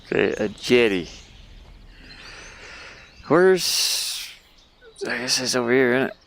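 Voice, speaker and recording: A man plays a game and comments casually as he goes, thinking aloud in a puzzled mutter. His speech is slow and creaky, at a middling pitch. There is faint noise in the background. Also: lively intonation, a bit bright. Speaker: gamer playing and commenting on a game.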